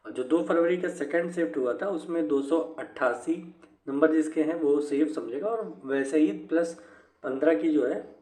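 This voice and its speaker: Higher-pitched man, echoey room. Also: darkish tone. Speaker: exam news presenter. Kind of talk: informal explanatory commentary on exam cut-off scores.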